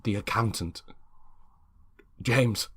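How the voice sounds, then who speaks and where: A man voicing an injured, dazed male sculptor who speaks feebly in halting, broken phrases. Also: lowish voice, fairly bright tone, slightly rough, pitched above the performer's own voice, heavily acted. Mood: pained, pleading.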